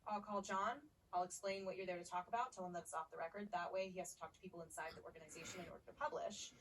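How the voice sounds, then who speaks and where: Brisk, lowish-voiced American strategist, played by a woman. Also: steady tone, recorded from a distance, lightly acted, low-quality recording. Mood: calculating. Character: shrewd businesslike female sports strategist. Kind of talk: cinematic video game dialogue.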